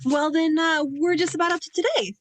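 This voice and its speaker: A woman's voice: very high voice, brisk. A woman playing a naive, eager apprentice angel in a radio play, asking simple, inquisitive questions. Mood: curious.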